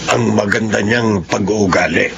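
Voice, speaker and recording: A man playing a measured, gravelly employer. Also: fairly low pitch. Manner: measured, suspicious. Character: thoughtful wealthy male employer, husband. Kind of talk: Filipino radio-style drama. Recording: muffled lo-fi with light hiss.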